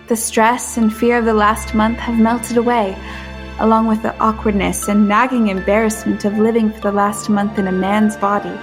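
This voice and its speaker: A woman playing a shy, awed young woman in a period audio drama, soft-spoken, hesitant and earnest. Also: medium pitch, natural voice. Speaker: lead actress and narrator. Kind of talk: first-person dramatic narration and dialogue.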